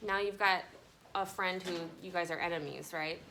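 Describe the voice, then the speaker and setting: A woman teacher reading a story to children and discussing it with them in an animated, conversational way, often checking in with questions. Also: lowish voice, normal speed, slight background noise. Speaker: elementary school teacher. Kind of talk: children's story read-aloud with class discussion. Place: classroom with children.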